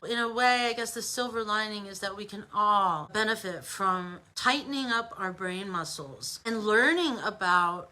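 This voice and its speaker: A woman's voice: medium pitch, moderate pace, few pauses, pushed and bright, low-quality recording. An American woman giving an informal opinion monologue as an online commentator, emphatic and conversational, with rhetorical asides.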